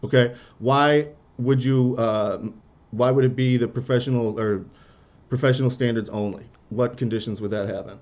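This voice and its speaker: A man's voice: mid-range voice, steady tone, normal speed, a little mellow. A male attorney questions a witness over a video call, often breaking off and restarting his questions. The sound is muffled and dull, as if through a lo-fi connection.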